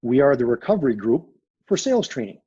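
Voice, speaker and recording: A man speaking with a husky, raspy voice in a measured, emphatic, pragmatic way. He talks as a guest consultant in a business interview. The recording sounds dull and lo-fi. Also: medium pitch, big pitch swings, dark tone.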